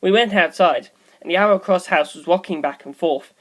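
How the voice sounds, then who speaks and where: A woman's voice: lowish voice, moderate pace. A woman reading scripted story narration as an audiobook narrator.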